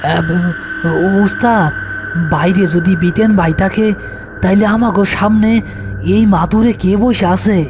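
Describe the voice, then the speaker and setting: Man as jittery young village helper, high voice, rural Bangladeshi accent, music behind. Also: fairly even intonation, takes it slowly, pauses often. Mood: frightened. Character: nervous young village guard's apprentice, male.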